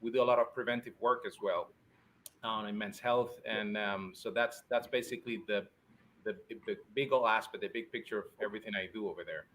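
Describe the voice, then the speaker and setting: A man from Spain, a urologist guest answering interview questions conversationally, somewhat halting and repeating words. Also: fairly low pitch, fairly even intonation, fairly bright tone, low-quality recording. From born in Spain, Puerto Rican and Spanish family. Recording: faint background noise.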